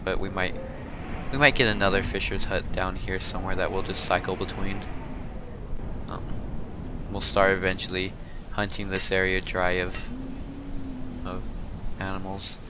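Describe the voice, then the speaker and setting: Low-voiced congested man over rain noise. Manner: thinks aloud, hesitant with restarts. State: congested, sniffly. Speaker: let's play host. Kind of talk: casual gameplay commentary.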